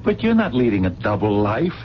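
An actor in a radio drama playing a blunt, protective, skeptical friend with an exasperated, streetwise manner. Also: male voice, on the low side, big pitch swings, fairly quick. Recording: dull, noisy 1970s radio recording.

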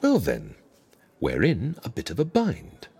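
An actor playing a wry, businesslike fixer in a comic audio drama, speaking with dry, formal, measured salesmanship. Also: mid-range voice, wildly swooping pitch, creaky, a little mellow, slightly rough, exaggerated voice. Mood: persuasive. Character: wry, businesslike fixer who arranges faked deaths for clients.

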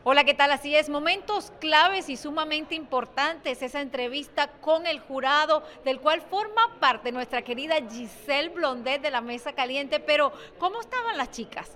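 High-voiced woman reporter in long reverb. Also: animated, normal speed, hardly any pauses, pushed and bright. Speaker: field news reporter. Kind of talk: on-location TV report.

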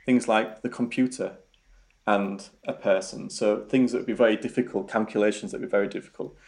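Gravelly Yorkshire man, low voice. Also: steady tone, a little fast, a little mellow. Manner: hesitant, many ums and repeated words. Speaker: robotics researcher guest. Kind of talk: casual interview answers.

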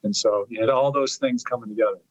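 A man in his fifties, a native Italian speaker, recounts family history at an unhurried, rambling pace, doubling back on his words. His voice sits at a middle pitch. The sound is dry and a little muffled. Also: steady tone, no room sound. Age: 50s. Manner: rambling, repeats words while finding his thought. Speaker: guest, lawyer and author. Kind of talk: storytelling interview.

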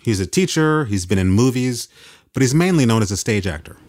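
A man's voice: medium pitch, expressive, a little fast, darkish tone, no room sound, clean studio sound. A man hosting and narrating a documentary in scripted narration. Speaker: host and narrator.

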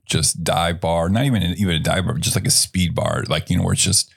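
An American man with a raspy voice, casually hosting a podcast as a bartender. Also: low-pitched, fairly even intonation, brisk.

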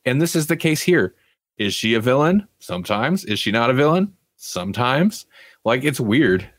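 A man talks casually as a podcast co-host reviewing television. He rambles through opinions, often qualifying them with asides. His voice sits at a middling pitch and is recorded very dry, with little room sound. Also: sing-song, moderate pace, no room sound. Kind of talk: casual chat.

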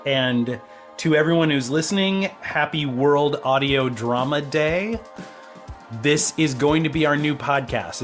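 Male podcast host, conversational and sincere, appreciative tone, medium pitch. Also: fairly bright tone. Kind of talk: casual round-table conversation.

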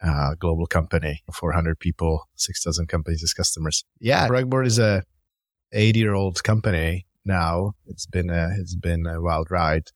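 A man's voice: low voice, fairly even intonation, normal speed, no echo, studio quality. A middle-aged man with a Czech accent giving conversational, explanatory interview answers as a company founder. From Czech Republic. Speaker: startup founder and CEO, interview guest.

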